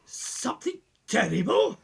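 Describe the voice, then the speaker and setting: A woman's voice: on the high side, big pitch swings, a little slow, gravelly, husky, full character voice. A woman playing a courteous, concerned professor in a radio play, formal and questioning. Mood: urgent. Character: concerned, courteous professor, a sceptical family friend.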